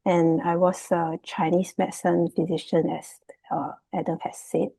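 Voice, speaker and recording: A Singaporean woman with a husky, fairly low voice gives an informal presentation. The sound is dry and close, and her delivery is hesitant and loosely phrased. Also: flat delivery, moderate pace, mellow, no room sound. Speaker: guest, property investment student. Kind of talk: casual unscripted presentation. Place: remote video call.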